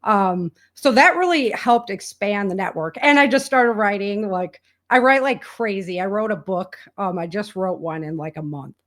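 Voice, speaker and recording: A woman's voice: medium pitch, lively intonation, normal speed, hardly any pauses, a bit bright. Enthusiastic, chatty woman guest. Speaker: interview guest, indie author and podcaster. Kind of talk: casual conversational interview.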